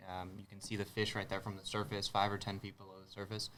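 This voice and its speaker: Low-voiced young American man, hesitant. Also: monotone, fast talker, fairly bright tone, slight background noise. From south Texas, United States. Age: 20s. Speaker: guest, spearfisher. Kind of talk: casual interview chat.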